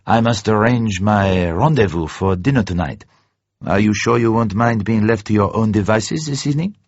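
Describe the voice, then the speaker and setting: A man's voice: fairly low pitch, moderate pace, no echo, muffled recording. A man voicing an urbane, effusive Frenchman with a courteous, formal way of talking. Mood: apologetic, courteous. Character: urbane, effusive Frenchman, smooth secret agent colleague.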